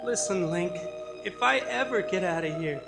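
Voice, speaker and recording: Man acting nervous young man over loud music. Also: sits high, expressive, moderate pace, creaky. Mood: scared, balking. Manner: nervous, plaintive, balking. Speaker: actor in a radio drama. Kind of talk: old-time radio drama acting.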